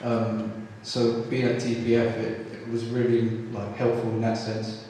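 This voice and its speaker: A young man from South London reflects on his training in a level, monotone way, full of "um"s. He sits far from the microphone in a big hall. His voice trails off into a long reverb. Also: mid-range voice, a little slow, a little hiss or hum. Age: 20s. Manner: earnest, says "you know" and "um" often. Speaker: photography trainee. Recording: echoey hall, distant mic.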